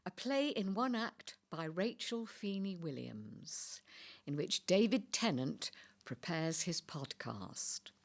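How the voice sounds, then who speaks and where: A woman's voice: lowish voice, animated, takes it slowly. A woman announcer giving scripted title narration for a play.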